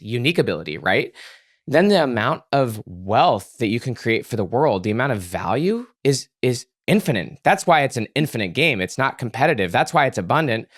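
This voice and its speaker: A man's voice: medium pitch, no echo, studio quality. A man speaking as a podcast guest, explaining ideas in an earnest, emphatic way and repeating words for emphasis. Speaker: podcast guest, author and psychologist. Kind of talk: conversational explaining in an interview.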